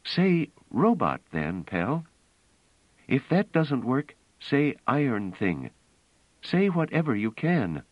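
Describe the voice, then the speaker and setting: A man reading aloud, voicing a gentle but firm young woman with a soft, coaxing manner. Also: medium pitch, sing-song, slow pace, no echo, pitched above the performer's own voice. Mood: urgent. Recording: dull, dry studio audio.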